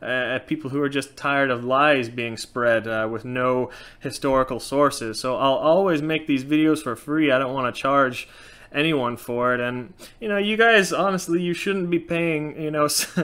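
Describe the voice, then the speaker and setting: A man in his thirties with a light Norwegian accent answers viewer questions in a casual, outspoken way. His voice is bright and crisp. He sits close to a webcam in a small indoor room. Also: mid-range voice, moderate pace. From Norway. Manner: frank, animated, gestures a lot. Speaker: channel host. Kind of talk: casual Q&A chat.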